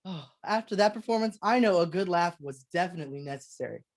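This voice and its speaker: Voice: mid-range voice, animated, fast talker, lightly acted. A performer playing a genial 1940s radio show announcer who introduces the acts. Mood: genial, upbeat.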